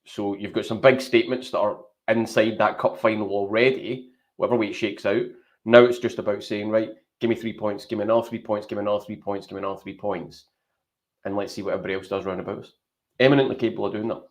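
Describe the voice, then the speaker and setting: A man with a Scottish accent talking through his opinions as a guest on a casual football podcast, measured and teasing. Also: lowish voice, steady tone. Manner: measured, thinks aloud, teasing. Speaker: podcast panelist.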